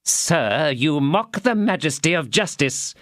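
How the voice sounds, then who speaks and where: A man's voice: on the high side, animated, a bit bright, no room sound, studio quality, pitched above the performer's own voice. A man voicing a stern, officious police commissary interrogating a prisoner. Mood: confused. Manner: interrogating, officious, slow and emphatic.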